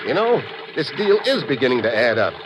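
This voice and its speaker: An American actor playing a hard-boiled, suspicious special agent, terse and wry, in a 1950s radio drama. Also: male voice, mid-range voice, big pitch swings, brisk, lightly acted. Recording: muffled, noisy old radio recording.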